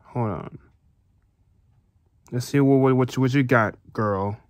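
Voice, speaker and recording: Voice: mid-range voice, unhurried, dry recording. A listener reacting casually with brief, repetitive interjections, recorded close on a dry microphone.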